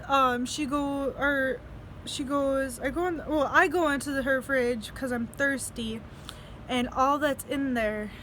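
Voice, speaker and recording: A woman's voice: a little high, fairly bright tone, slight background noise, low-quality recording. A young woman telling stories in a casual video diary, rambling, swearing freely and laughing between sentences. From United States. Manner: rambling, profane, laughs often. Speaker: vlogger.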